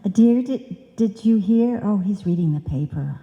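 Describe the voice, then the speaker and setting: An actress playing a scatterbrained, bossy wife who earnestly mangles sayings in an old-time radio comedy. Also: female voice, mid-range voice, lively intonation, moderate pace, muffled recording, background noise, lightly acted. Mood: earnest, confident. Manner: earnest malapropisms, chiding. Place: on stage in a live performance. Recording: live hall recording, long reverb.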